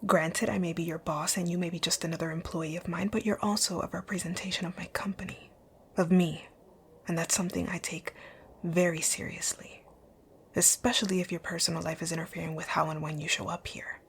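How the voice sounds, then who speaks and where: A woman playing a rich, dominant boss in an ASMR roleplay, speaking in a curt, commanding way with a soft, breathy, husky voice. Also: lowish voice, flat delivery, brisk, slight background noise, close to an everyday voice. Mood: stern, probing. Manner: curt, commanding, breathy, often near a whisper. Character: rich, rude, dominant female boss, stern but secretly caring. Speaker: audio roleplay voice actor.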